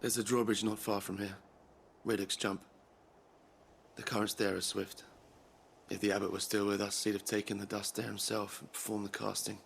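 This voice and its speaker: An actor playing a sombre, loyal soldier in a dramatic game cutscene. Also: male voice, lowish voice, level tone, normal speed, vocal fry, slight background noise.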